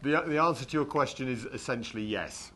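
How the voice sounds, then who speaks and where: A man moderating an audience Q&A session, brisk and matter-of-fact. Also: mid-range voice, a crowd in the background.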